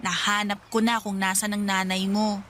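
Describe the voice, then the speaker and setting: A woman's voice: mid-range voice, level tone, normal speed, a bit bright, lightly acted. An actress playing Sonia, a talkative and bossy young woman, in a radio drama. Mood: scheming. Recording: music bed under dialogue.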